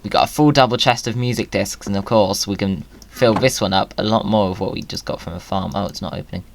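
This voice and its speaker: A man's voice: lowish voice, fast talker, vocal fry, slight background noise. A man giving casual, playful gaming commentary with whimsical wording. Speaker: video creator.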